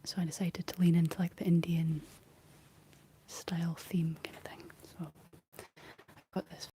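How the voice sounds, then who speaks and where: A young Scottish woman speaking in a soft, breathy whisper, chatting casually and gently in an ASMR style. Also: fairly low pitch, flat delivery, unhurried, slight background noise, poor audio. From Scotland. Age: young adult. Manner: gentle, rambling chat while painting. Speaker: ASMR streamer reading and answering live chat. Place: living room.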